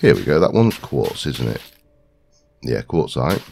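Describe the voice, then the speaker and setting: A very deep, gravelly man, his voice stuffy with a head cold, rambles through casual gameplay commentary and mutters to himself as he searches. His pitch rises and falls in a lively way, with a faint hiss of noise behind him. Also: unhurried. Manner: rambling, talks to himself while searching, many ums. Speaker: gaming video host.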